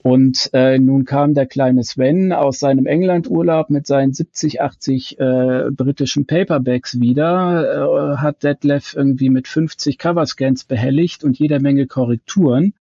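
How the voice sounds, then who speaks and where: A man's voice: mid-range voice, fairly even intonation, unhurried, hardly any pauses, warm tone, dry recording, lo-fi sound. A man explaining things in detail as an interview guest, with precise, somewhat bookish wording. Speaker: fan website co-founder, podcast guest.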